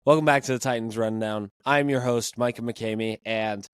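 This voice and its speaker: A hoarse young man hosting a casual sports podcast, ranting with animated, exasperated energy. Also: medium pitch, normal speed, dry recording, clean studio sound. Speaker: podcast host.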